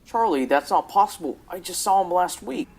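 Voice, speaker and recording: A man's voice: high voice, lively intonation, normal speed, creaky, a little hiss or hum. A teenage boy playing a troubled, pleading company boss and devoted husband in a radio drama. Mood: shocked. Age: teens, high school student.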